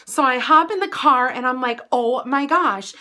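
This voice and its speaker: An American woman chats to her viewers as a vlogger telling a story from her own life. Her voice is bright and punchy. She is animated and casual, leaning into dramatic emphasis as she relays who said what. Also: sits high, moderate pace. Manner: animated, chatty, dramatic emphasis with acted-out quotes. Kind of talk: casual personal storytelling to camera.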